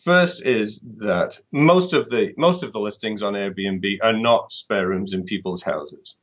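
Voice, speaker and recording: A man's voice: on the low side, a little slow, fairly bright tone. A middle-aged man from the UK, answering interview questions thoughtfully and often repeating words as he restarts. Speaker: author guest.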